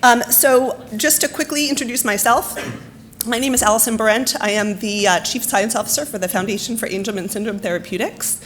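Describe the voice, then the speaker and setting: Hoarse woman opening a conference. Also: on the high side, a little fast, a bit bright, a little hiss or hum. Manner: chatty, many ums, friendly housekeeping tone. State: hoarse, losing her voice. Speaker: foundation science officer introducing the keynote. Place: hotel ballroom.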